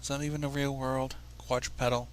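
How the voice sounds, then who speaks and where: A man's voice: medium pitch, little pitch movement, fairly quick, a little hiss or hum. A man reading a story aloud with casual asides, stumbling over words and going back over them. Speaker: fan fiction reader.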